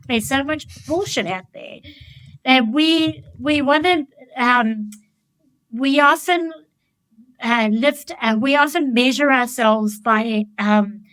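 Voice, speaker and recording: A woman's voice: fairly high pitch, dry recording, rough recording. A woman life coach talking in a casual interview, candid and blunt, swearing freely, and telling her stories with enthusiasm. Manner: enthusiastic, animated storytelling. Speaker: life coach, podcast guest.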